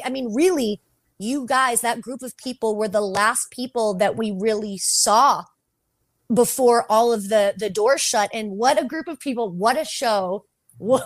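A woman's voice: on the high side, no echo. A woman guest on a podcast, chatting casually and loosely, filling her talk with "like". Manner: chatty, heavy use of "like". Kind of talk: casual chat.

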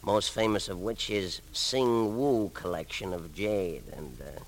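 An actor playing a pedantic, unhurried newspaper file clerk, deadpan and muttering, in a 1940s radio drama. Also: male voice, lowish voice, lightly acted. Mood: deadpan, absentminded. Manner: deadpan, muttering as reads, fussy. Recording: faintly noisy vintage radio recording.

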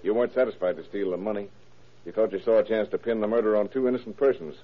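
An actor playing a shrewd, calm police detective in a 1930s radio crime drama, gruff, wry and commanding. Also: male voice, fairly low pitch, fast, natural voice. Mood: wry, stern. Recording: muffled old radio broadcast recording.

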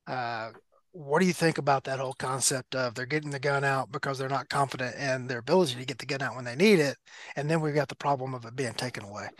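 A man's voice: medium pitch, fast talker, dry recording. A man moderating a training panel, joking a little and putting questions to the guests. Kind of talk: panel discussion.

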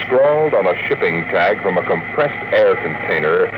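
A man's voice: low voice, very animated, moderate pace. A man announcing and narrating in a dramatic, scripted 1950s radio style. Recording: tinny, very noisy old radio broadcast.

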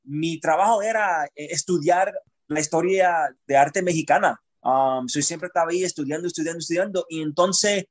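A man from Chicago talks as a guest poet in a relaxed interview. He thinks out loud, feeling his way toward each point. His voice sits somewhat high for a man. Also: normal speed. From Chicago, United States. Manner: reflective, searching for words. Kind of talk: conversational interview.

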